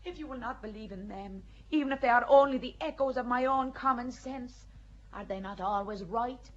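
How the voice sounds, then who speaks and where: Actress as high-voiced fervent young peasant girl, defiant, dull lo-fi radio-play recording. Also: moderate pace, lightly acted. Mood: indignant. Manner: earnest, pleading.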